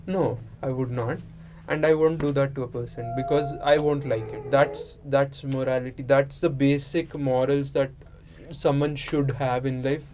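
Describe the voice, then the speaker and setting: A man's voice: medium pitch, fairly even intonation, normal speed. Reflective man chatting over faint noise. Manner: reflective, explanatory. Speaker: participant in an unscripted discussion. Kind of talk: casual philosophical chat. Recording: noisy room ambience.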